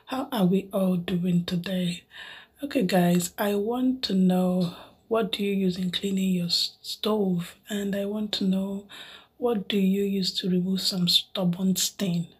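A woman hosting a vlog, chatting to her viewers in a soft, breathy half-whisper and playfully pleading with them. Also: mid-range voice, steady tone, a little slow. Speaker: vlog host. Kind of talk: casual chat to viewers.

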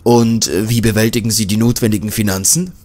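A man's voice: lowish voice, fairly even intonation, a little fast, a little mellow, natural voice. Male reader as a curious journalist; hesitant, probing questions; audiobook. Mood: questioning. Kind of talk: audiobook character voice.

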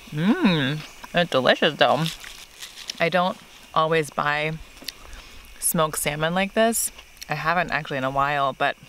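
A woman's voice: deep voice, fairly bright tone, a little hiss or hum, rough recording. A woman in her thirties vlogging outdoors to a camera held at arm's length, chatty and self-deprecating, laughing easily. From not from California. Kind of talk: casual vlog talk to camera. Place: outdoors by a tree in a park.

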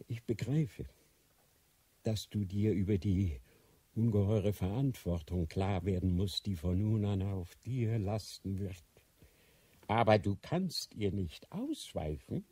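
Slow, husky aged Inquisitor. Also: male voice, on the low side, dark tone, dry recording, full character voice. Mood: firm, grave. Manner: solemn, halting, declamatory, rising to outcries. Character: aged, ailing Grand Inquisitor, remorseful, fervent, commanding. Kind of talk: German radio drama acting.

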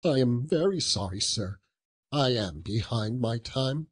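Meek storybook clerk, acted by a male reader: flustered, apologetic, pleading, with lively rising pitch. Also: unhurried, slightly rough. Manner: pleading, deferential.

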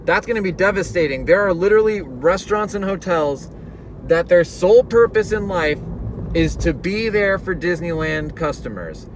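A man's voice: high-pitched, expressive, moderate pace. A young man, talking candidly and a bit worried in a casual vlog, recorded in a car on a dashboard camera, his voice muffled through a face mask. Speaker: vlogger. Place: inside a car. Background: faint car and street noise.

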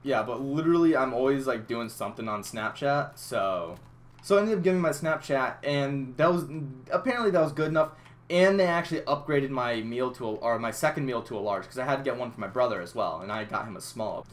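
A young man tells a loose, rambling story in a small room, stumbling over restarts and swearing often. His voice sits at an ordinary middle pitch, and he talks into a headset mic. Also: animated, fairly quick. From Massachusetts. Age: young adult. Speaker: gaming YouTuber. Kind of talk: casual storytelling over gameplay.

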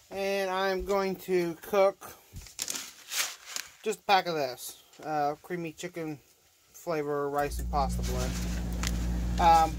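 A man casually chatting while he cooks in a small RV kitchen, rambling folksy talk with a phone camera held over the stove. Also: high-pitched. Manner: relaxed, rambling, folksy, with fillers. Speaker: home cook vlogger. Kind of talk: casual cooking vlog. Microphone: handheld phone camera over the stove. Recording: kitchen noise with sizzling food.

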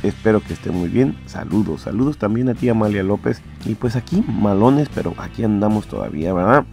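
A man's voice: lowish voice, expressive, a little fast, creaky, warm tone, soft background music. A man hosting a podcast, chatting casually and reading out listener comments. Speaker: podcast host.

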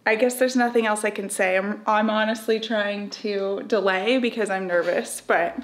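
A woman's voice: medium pitch, steady tone, normal speed. A young woman casually chatting on camera about a home renovation, with frequent laughs and admitted nerves. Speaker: vlogger.

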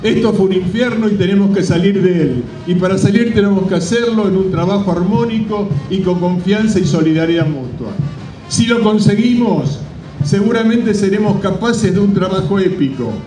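A middle-aged man gives a measured, deliberate public speech as a company representative at an outdoor podium. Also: high-pitched, dark tone. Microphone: microphone on a stand at a podium. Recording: roomy distant PA microphone, background noise.

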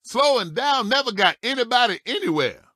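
An actor playing a feisty old talking race car in a video game, a folksy, cocky veteran racer firing off exclamatory one-liners. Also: male voice, extremely high pitch, big pitch swings, fairly quick, bright, forceful tone, no room sound, clean studio sound, heavily acted. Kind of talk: animated video game character voice clips.

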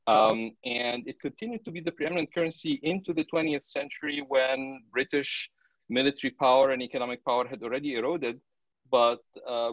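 A middle-aged man from Egypt explaining as a professor on a panel, in a halting way with repeated words, over a video call. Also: medium pitch, no echo. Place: home office with bookshelves. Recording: dull, lo-fi video call audio.

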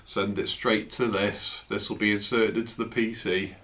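A man in his fifties, croaky from a flu, chatting casually through an unboxing in his home office, recorded on a camera's built-in mic. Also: fairly low pitch, moderate pace. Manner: relaxed, chatty, trails off. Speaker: tech vlogger. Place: home office, indoors, seated at a desk.

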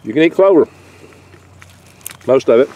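An older man talks casually outside in a garden among pine trees, holding the camera close as he speaks. His delivery is folksy and meandering, with little jokes and occasional stumbles over his words. His pitch sits in an ordinary middle range for a man. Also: expressive, moderate pace, darkish tone, lo-fi sound. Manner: rambling, folksy, chatty with small jokes and stumbles. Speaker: foraging channel host. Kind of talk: casual outdoor foraging vlog. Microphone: handheld camera mic held close.

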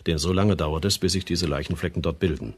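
Male forensic pathologist for radio crime drama: low, husky, precise and sceptical. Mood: clinical, firm. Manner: measured, clinical, explanatory.

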